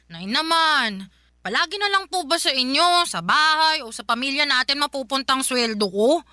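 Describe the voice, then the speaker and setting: A woman's voice: sits high, lively intonation, normal speed, pushed and bright, dry recording, clean studio sound. A woman playing a young working daughter in a Filipino radio drama, respectful but defiant, protesting politely and pleadingly. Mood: hurt, protesting. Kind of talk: melodramatic radio drama acting.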